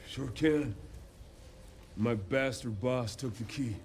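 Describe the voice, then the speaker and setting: A man playing a blunt, gruff wounded survivor with a bad leg in a video game cutscene. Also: medium pitch, slow, a little mellow, a little hiss or hum. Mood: guarded. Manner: blunt, sweary, informal. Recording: music under the voice.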